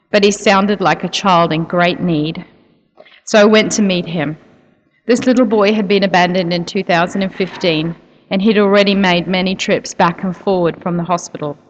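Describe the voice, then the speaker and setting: Soft-spoken New woman in a reverberant hall. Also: lowish voice, slight background noise. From New Zealand. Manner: earnest, soft-spoken, with frequent ums. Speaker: guest speaker at a church. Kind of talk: church talk telling a personal story. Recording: long reverb of a large hall.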